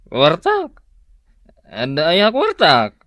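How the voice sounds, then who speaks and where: A man's voice: fairly high pitch, huge pitch swings, very slow, a bit bright, no echo, studio quality, exaggerated voice. A man acting a stingy, evasive husband who likes his drink, mumbling and whining in a comedy radio drama. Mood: drunk, evasive.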